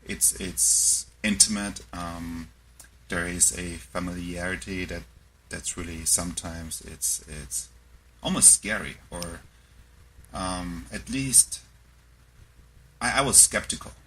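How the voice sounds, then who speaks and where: A man with an Austrian accent, a podcast guest talking in a halting, reflective way with many fillers and restarts. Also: deep voice, bright, forceful tone, a little hiss or hum, rough recording. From born in the US South, raised in Austria. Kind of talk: casual conversation.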